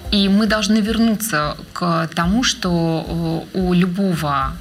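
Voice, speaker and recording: Woman, interview guest, somewhat low voice, measured, thoughtful, hesitant fillers. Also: faint music behind. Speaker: guest, radio researcher. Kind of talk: interview answers.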